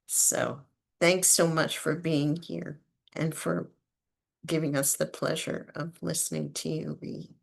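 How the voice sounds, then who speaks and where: A host of an online poetry reading speaks over a video call and keeps the introductions brief and gracious. The voice is gravelly and mid-pitched. The pace is unhurried. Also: level tone.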